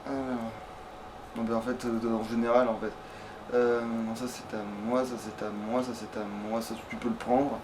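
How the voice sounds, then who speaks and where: A man's voice: mid-range voice, flat delivery, normal speed. A man giving casual let's play commentary, talking crudely and swearing heavily. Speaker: let's player. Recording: faint background noise.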